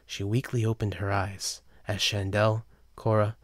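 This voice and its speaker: A man narrates a dramatic story from a script. His voice is fairly low and the recording is dry and close. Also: flat delivery, a little slow, no room sound.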